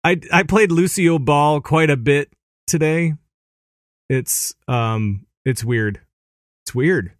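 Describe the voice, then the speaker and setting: A man hosting a casual gaming podcast, animated and excitable, laughing often as he explains things. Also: fairly high pitch, slow pace, dry recording, studio quality. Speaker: podcast host.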